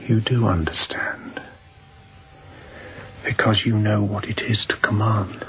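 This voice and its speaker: Fierce officer on the run: lowish voice, hushed and intense, radio play, dull recording. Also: male voice, steady tone, takes it slowly, in a whisper. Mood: hushed, haunted. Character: intense fugitive ship's officer in hiding, fierce. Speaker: actor in a sea drama. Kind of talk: radio drama acting. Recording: dull, muffled sound.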